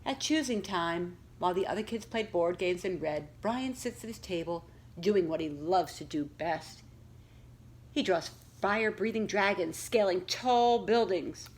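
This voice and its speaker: A woman's voice: lowish voice, lively intonation, unhurried. Woman reading a picture book aloud. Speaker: school librarian reading aloud to children. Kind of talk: expressive picture-book read-aloud with questions to young listeners.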